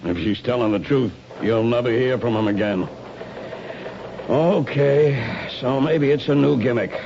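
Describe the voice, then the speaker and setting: An actor playing a skeptical, world-weary police lieutenant, wry and colloquial, in a 1970s radio drama. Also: male voice, medium pitch, a little slow, vocal fry. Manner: wry, colloquial, matter-of-fact. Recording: dull, noisy old radio recording.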